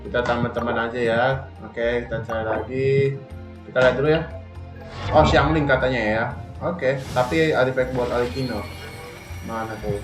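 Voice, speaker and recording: Male game streamer, Indonesian accent: mid-pitched, slangy casual chat to viewers, echoey room, game music behind. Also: a little fast. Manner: informal, slangy, addressing viewers. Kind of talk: casual livestream gameplay chat. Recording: roomy stream mic over game music.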